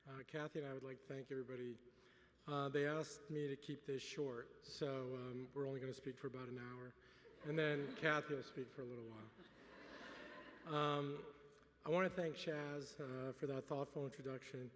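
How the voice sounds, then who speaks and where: Middle-aged honoree at a podium, native French speaker, relaxed with dry humor, lots of ums, in a long-echo banquet hall. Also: male voice, mid-range voice, moderate pace. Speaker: gala honoree giving an acceptance speech. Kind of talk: speech at a gala dinner. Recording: reverberant hall.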